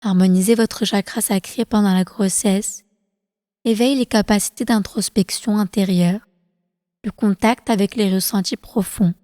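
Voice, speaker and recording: A woman guides a meditation in a soft, mellow voice at a medium pitch. Her phrasing is calm, slow and deliberate, soothing the listener as an instructor would. The voice carries a long reverb tail. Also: studio quality. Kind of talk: guided prenatal meditation, yoga nidra.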